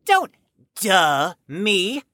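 Prim, animated young know-it-all played by a woman. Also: medium pitch, drawn-out words, no room sound, clean studio sound, exaggerated voice. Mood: indignant, smug. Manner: pompous, precise, indignant, wordy. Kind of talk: audio drama acting.

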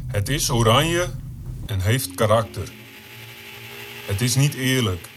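A man performing a literary audio play in a measured, formal spoken-word narration. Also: medium pitch, lively intonation, very noisy.

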